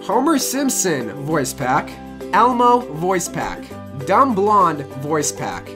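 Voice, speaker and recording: A woman's voice: fairly low pitch, big pitch swings, takes it slowly. A woman hosting a video, narrating a casual comic list in a chatty way. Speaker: video creator and host. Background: background music.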